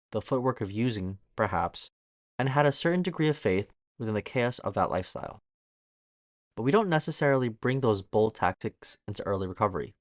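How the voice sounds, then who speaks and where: A man's voice: lowish voice, no room sound, studio quality. A man reading a scripted daily reflection aloud for a recovery podcast. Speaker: guest reader on a recovery podcast.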